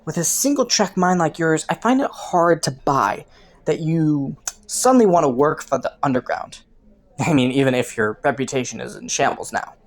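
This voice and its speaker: A slightly low-pitched woman voice actor as a criminal from the underworld, flirting with and taunting a detective. The delivery is a playful, teasing drawl, in the style of an intimate roleplay audio drama. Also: lively intonation, moderate pace. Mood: flirty, sly. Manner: playful, teasing drawl with frequent soft chuckles.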